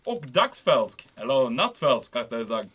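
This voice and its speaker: A man's voice: mid-range voice, very animated, a bit bright, husky. En man som spelar en självgod, hånfull tysk ockupationsmajor med låtsad tysk brytning. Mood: gloating. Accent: mock German. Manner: mocking, gloating, theatrical. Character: smug scheming German occupation major, male. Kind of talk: audio drama acting.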